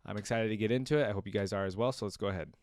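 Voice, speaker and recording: Man, lowish pitch, dry close recording, casual reaction commentary, informal streamer host, reading and remarking aloud. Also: racing, darkish tone, dry recording.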